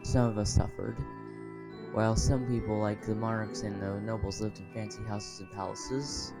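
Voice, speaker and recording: Man reading kind young woman, low pitch, music behind. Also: fairly even intonation, moderate pace, lightly acted. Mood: bitter. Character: kind young woman who grew up poor. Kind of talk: amateur dramatic reading.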